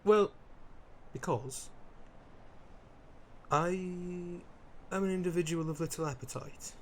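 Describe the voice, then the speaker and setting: A man's voice: sits high, takes it slowly, darkish tone, a little hiss or hum. A man playing a theatrical, fussy vampire aristocrat in an ASMR roleplay, with pompous old-fashioned wording, flustered stammers and dramatic emphasis. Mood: confiding, weary. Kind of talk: ASMR roleplay character acting, addressing the listener.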